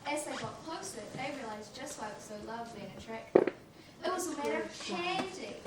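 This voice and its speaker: A patient parent played by a woman reads a storybook in a high voice. The delivery is measured and firm, in a stage play, through very heavy noise. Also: animated. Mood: storytelling. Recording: very echoey, noisy, distant room.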